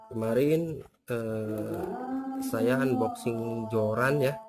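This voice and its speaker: A man giving a relaxed, chatty unboxing review of fishing gear, full of fillers and tag questions. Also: medium pitch, very slow, loud music behind the voice. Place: indoors on a tiled floor.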